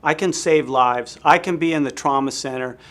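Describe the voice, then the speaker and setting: A man's voice: mid-range voice, moderate pace, a bit bright. A man speaking as a surgeon in an unscripted interview testimonial. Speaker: weight loss surgeon.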